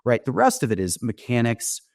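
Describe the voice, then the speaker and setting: A man speaking as a company founder and guest, explaining his product in a conversational sales-pitch style and often checking in with "right?". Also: wildly swooping pitch, brisk, creaky, no echo, clean studio sound. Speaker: company founder demonstrating software, interview guest.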